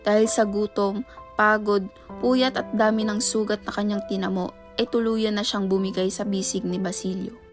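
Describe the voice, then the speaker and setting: A woman's voice: mid-range voice, flat delivery, normal speed. A woman reading scripted narration for a radio play as its storyteller. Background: music under the voice.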